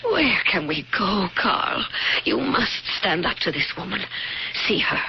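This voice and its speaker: An actress playing a frightened wife, anxious and pleading in a radio drama. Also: female voice, mid-range voice, big pitch swings, unhurried, a crowd in the background. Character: frightened wife of a hiding doctor. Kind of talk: 1970s radio drama acting. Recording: dull old radio broadcast with hiss.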